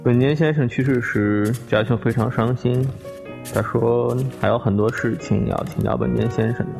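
A man's voice: mid-range voice, steady tone, moderate pace, vocal fry, natural voice. Middle-aged family host male actor, formal and solemn, medium register, radio drama over prominent music. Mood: solemn, regretful. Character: courteous, solemn middle-aged brother hosting family guests. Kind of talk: Chinese radio drama acting.

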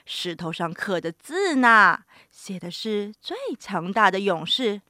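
A woman voicing a boastful, bossy male lion in a children's story, loud, commanding and showy. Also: medium pitch, expressive, slow pace, no room sound, studio quality, pitched below the performer's own voice. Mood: smug.

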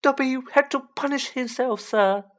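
A woman voicing a small male house elf, high-pitched and squeaky, servile and overwrought, in an audiobook. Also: normal speed, lightly acted. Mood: deferential. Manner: deferential, overwrought, speaks of himself in third person.

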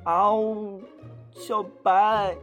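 A woman playing a cocky, childish male dog demon in an audio drama, haughty but quick to stammer. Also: mid-range voice, fairly even intonation, highs cut off, faint music behind. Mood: whining, cowed. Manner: haughty, stammers when flustered.

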